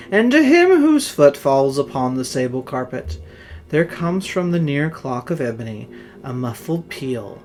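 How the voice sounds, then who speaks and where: A man narrating a horror story in a solemn, theatrical manner, swelling and hushing his phrases. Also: medium pitch. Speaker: story reader. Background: music under the voice.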